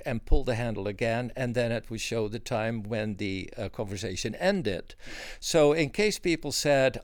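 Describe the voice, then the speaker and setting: An older man telling detailed stories of his past work in a casual, reminiscing way, with frequent pauses and fillers. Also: mid-range voice, no room sound, clean studio sound. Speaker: interviewee, former switchboard operator. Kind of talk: conversational storytelling.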